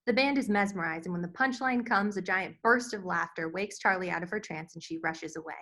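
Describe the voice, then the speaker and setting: A woman's voice: on the low side, a little fast, bright, forceful tone. A woman reading stage directions as narrator in scripted narration at a table read.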